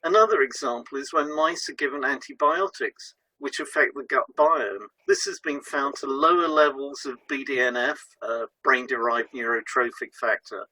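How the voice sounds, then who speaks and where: A man's voice: mid-range voice, normal speed, hardly any pauses, pushed and bright, low-quality recording. A man co-hosting an educational podcast, explaining from a script. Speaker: podcast co-host and hypnotherapist.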